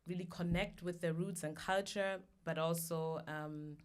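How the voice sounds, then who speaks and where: A woman from Germany, a podcast guest speaking in a thoughtful, measured way with frequent pauses. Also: lowish voice, steady tone, clean tone. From Germany (Hamburg). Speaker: guest, founder of a business platform. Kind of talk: conversational podcast interview.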